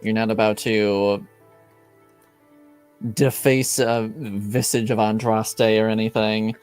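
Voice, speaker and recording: Man playing a slow, reserved Templar knight. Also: on the low side, smooth, soft background music. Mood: guarded, wary. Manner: measured, understated, deadpan. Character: reserved, wary, dryly deadpan male Templar. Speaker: game master. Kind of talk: improvised in-character tabletop roleplay.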